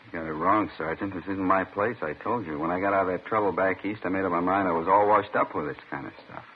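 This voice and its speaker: An American actor playing a worn-down burglary suspect who repeats himself defensively and pleadingly in 1950s radio drama style. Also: male voice, low-pitched, fast, natural voice. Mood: defensive denial. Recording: muffled old radio recording with hiss.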